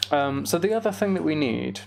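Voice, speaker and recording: Creaky-voiced young man chatting on stream. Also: on the high side, expressive, brisk, a little mellow. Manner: meandering, thinking aloud to himself. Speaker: live game streamer. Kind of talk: casual gameplay commentary, chatting with viewers.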